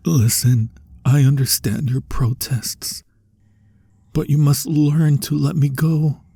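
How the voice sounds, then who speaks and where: A sorrowful undead vampire played by a man murmurs through an intimate ASMR drama. His voice is very husky and breathy, with a gravelly creak and a soft, dark tone. He pleads formally and tenderly, often sinking into whispers. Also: medium pitch, exaggerated voice. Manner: formal, pleading, melancholy phrasing. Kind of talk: ASMR roleplay, dramatic monologue.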